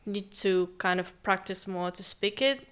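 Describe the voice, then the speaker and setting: A young woman from Uzbekistan, a native Russian speaker, chatting as a podcast guest in a lively way with frequent laughs. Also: mid-range voice, clean tone. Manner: lively, laughs often, quick asides. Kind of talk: casual chat.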